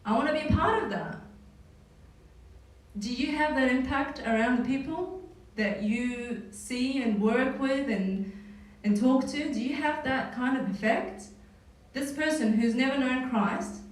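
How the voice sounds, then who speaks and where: A woman with a New Zealand accent leading a casual Bible study, talking in a conversational way with frequent "right?" tags. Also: fairly high pitch. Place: church hall. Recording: echoey hall, mic far off.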